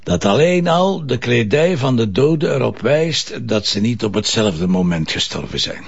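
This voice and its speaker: A Flemish police commissioner in a TV crime drama. His voice is creaky and his talk colloquial, wry and teasing. The sound is slightly dull. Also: medium pitch, very animated, moderate pace, exaggerated voice. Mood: casual. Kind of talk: naturalistic TV crime drama dialogue.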